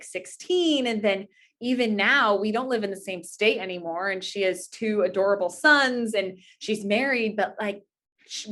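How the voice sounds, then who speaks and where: A woman's voice: mid-range voice, fairly bright tone. A woman in her twenties talking earnestly and at length as an interview guest, in a conversational, anecdotal style. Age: 20s. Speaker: interview guest, pageant titleholder.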